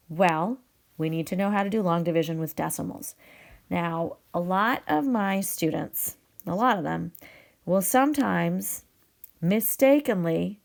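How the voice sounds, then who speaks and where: A woman teacher explaining a math problem step by step, her voice raspy from a cold. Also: lowish voice. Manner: upbeat, patient explaining with fillers. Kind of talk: video math lesson.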